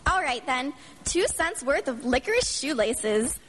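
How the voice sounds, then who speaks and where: Sassy little flirt, high, swooping, coy, radio play, noisy stage recording. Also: female voice, sing-song, measured pace. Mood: coy, flirty. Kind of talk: live radio drama acting.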